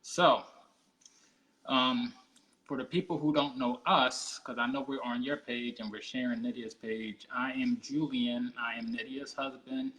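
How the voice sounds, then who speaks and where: A man's voice: mid-range voice, fairly even intonation, fairly bright tone, low-quality recording. Guy in his 40s co-hosting a live stream, mid voice, laid back, lots of ums. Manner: easygoing, halting, many ums. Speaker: co-host. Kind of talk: casual live-stream interview.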